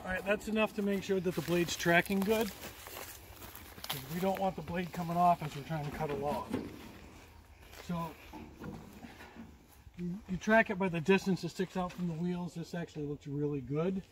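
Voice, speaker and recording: A man's voice: high voice, normal speed, pauses often. A middle-aged man giving a casual, plain-spoken hands-on demonstration as he works outdoors, a few steps from the camera. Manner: plain-spoken, explanatory while working. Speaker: vlogger demonstrating his sawmill. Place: outdoors in a wooded clearing. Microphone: camera a few steps away. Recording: outdoor camera audio, clear background noise.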